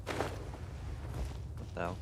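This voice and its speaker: A man giving casual live reactions as a game streamer, in laconic muttered asides. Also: very slow. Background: game music.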